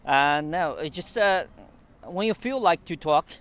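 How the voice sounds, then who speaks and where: A man whose first language is Mandarin, speaking English with a Chinese accent in a casual interview, pausing often with fillers and restarts and chuckling now and then. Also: fairly high pitch, lively intonation, fairly bright tone. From China. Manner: halting non-native English with frequent fillers and restarts. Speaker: interview guest.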